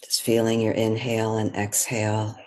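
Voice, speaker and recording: A meditation guide speaking gently and in a measured way, leaving long pauses between phrases. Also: medium pitch, completely flat delivery, rough recording. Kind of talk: guided meditation, soothing spoken instruction.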